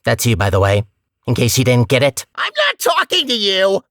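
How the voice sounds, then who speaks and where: A man's voice: mid-range voice, wildly swooping pitch, moderate pace, a bit bright, dry recording, studio quality. A man voicing a talkative robot core in a video game, a petty, scheming villain with a sarcastic, theatrical and condescending manner. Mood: mocking, scornful. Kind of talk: video game character acting.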